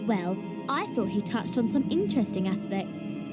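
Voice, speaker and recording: A woman's voice: mid-range voice, animated, moderate pace, over loud music, lightly acted. An actress with a British accent playing an earnest, bookish schoolgirl at a wizard school in a video game. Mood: earnest, curious. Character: earnest, bookish schoolgirl witch, eager and bright.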